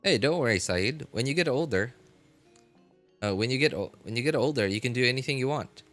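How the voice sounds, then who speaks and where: A man's voice: medium pitch, expressive, brisk, fairly bright tone, faint music behind. A man in his thirties chatting casually with stream viewers, rambling with many fillers and restarts and chuckling often. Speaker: live game streamer.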